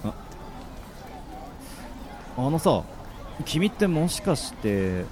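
A man playing a cool, reluctant but decent high school boy in an amateur radio drama, speaking in curt, offhand slang. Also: sing-song, very slow, a crowd in the background, background noise, exaggerated voice. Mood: calm, hesitant.

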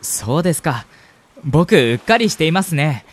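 A man's voice: a little high, very animated, moderate pace. A man acting a polite, shy and smitten young college student in a radio drama, speaking and narrating earnestly. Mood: sheepish.

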